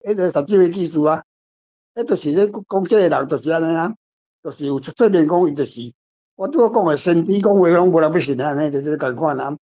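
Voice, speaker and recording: A man's voice: fairly high pitch, fairly quick, mellow. An older man with a Taiwanese accent chatting casually about a story as its author, rambling through anecdotes and repeating phrases. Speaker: author guest discussing their story. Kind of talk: casual literary discussion.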